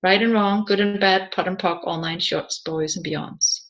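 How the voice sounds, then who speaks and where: A woman reading a scripted audiobook in a measured, deliberate way, phrase by phrase. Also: medium pitch, steady tone, a bit bright, lo-fi sound. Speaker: audiobook narrator.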